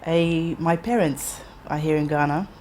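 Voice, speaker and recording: A woman in her thirties, raised in England, telling her life story in an interview in a measured, reflective way with frequent pauses and restarts. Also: deep voice, darkish tone, soft background music. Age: 30s.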